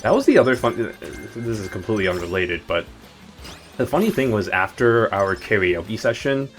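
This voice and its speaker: A man's voice: fairly low pitch, expressive, normal speed, faint music behind. A man streaming a game, chatting casually in a rambling, jokey way and correcting himself as he goes.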